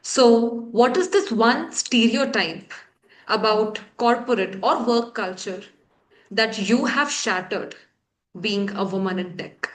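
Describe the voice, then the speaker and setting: A woman's voice: a little high, takes it slowly. A woman co-hosting a podcast, posing a question in a plain, composed way. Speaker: podcast co-host. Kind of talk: interview questions.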